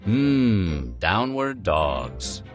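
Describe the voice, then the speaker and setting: A male narrator reads a playful script over clear background music. His pitch swings in a lively way, and he drops in wry, joking asides. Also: very slow. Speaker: narrator of a food history video. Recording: music bed behind the voice.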